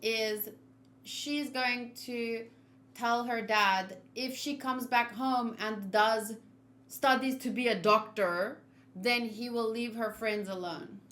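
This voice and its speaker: A woman's voice: fairly high pitch, fairly even intonation, slow, fairly bright tone, slight background noise. A woman livestreaming a video game, chatting casually and thinking aloud as she switches between her viewers and the game. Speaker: game streamer. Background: video game music.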